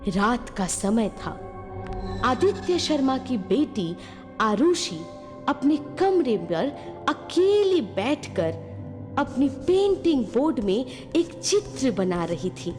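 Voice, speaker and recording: A woman narrating a horror story dramatically, building suspense with phrases broken by pauses. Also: fairly high pitch, sing-song, darkish tone. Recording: long reverb, clear music behind.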